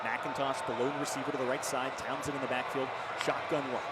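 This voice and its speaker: A man's voice: medium pitch, little pitch movement, brisk, over a noisy crowd. A man in his twenties calling a football game on radio in clipped, descriptive phrases. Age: 20s. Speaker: play-by-play football announcer. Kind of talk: live radio sports commentary. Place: live at a football stadium. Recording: loud stadium background noise. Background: stadium ambience and noise.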